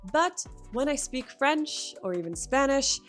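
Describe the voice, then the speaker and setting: A young woman who teaches English speaks straight to her learners in an encouraging, direct way. Her voice sits a little high. Soft music plays quietly under her. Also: expressive, a little slow. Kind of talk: scripted language-learning lesson.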